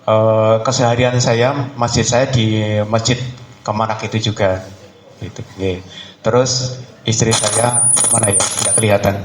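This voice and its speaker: Unhurried man in his fifties, echoey room. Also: lowish voice, level tone, background noise. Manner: loose, broken short phrases. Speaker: participant introducing himself to a group. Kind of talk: casual self-introduction to a gathering.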